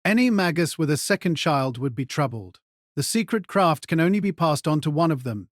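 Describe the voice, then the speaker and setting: Aristocratic mage male actor, fairly high male voice, dry close sound, measured cold disdain, audio drama. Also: lively intonation, no room sound, lightly acted. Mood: indifferent, superior. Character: composed aristocratic male mage, coldly condescending father.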